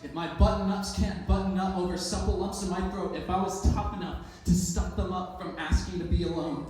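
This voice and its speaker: A man's voice: high voice, steady tone, fairly quick, darkish tone. A man reciting spoken-word poetry live, in a rhythmic, densely worded flow. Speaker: slam poet. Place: echoey indoor event space, live stage performance. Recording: very echoey room, distant mic.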